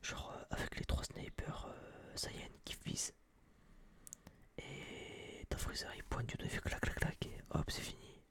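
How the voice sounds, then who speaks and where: A young man whispering close to a binaural microphone in a casual, rambling, blunt ASMR review style, with a breathy, unvoiced voice. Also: measured pace, a bit bright. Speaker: ASMR channel host reviewing a film.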